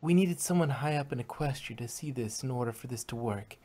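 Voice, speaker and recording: A woman's voice: rumbling low, a little fast, mellow. A woman voicing a friendly, earnest female pony in a cartoon fan story.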